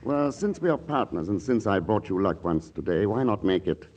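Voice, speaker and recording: A smooth-talking, courteous gentleman stranger from a 1940s radio comedy. His voice sits low and moves with lively inflection as he charms with polished manners. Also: normal speed. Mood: suave, persuasive. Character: suave, glib gentleman stranger charming an engaged couple. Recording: vintage 1940s radio broadcast.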